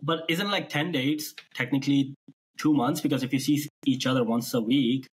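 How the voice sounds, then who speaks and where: A man's voice: mid-range voice, steady tone, moderate pace, slightly rough. A man in his late twenties hosting a podcast, asking probing follow-up questions in a casual chat. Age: late 20s. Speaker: podcast host.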